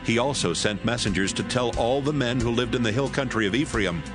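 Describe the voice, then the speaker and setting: A man's voice: medium pitch, brisk. A man narrating a dramatized audio Bible in a scripted storytelling style. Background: music.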